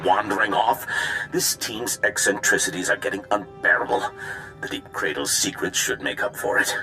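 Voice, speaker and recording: A man's voice: fairly low pitch, animated, moderate pace, music in the background, rough recording. A man playing an irritable, paranoid researcher losing his mind in a video game journal, muttering in disjointed bursts. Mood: irritated. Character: irritable, paranoid male researcher slipping into madness.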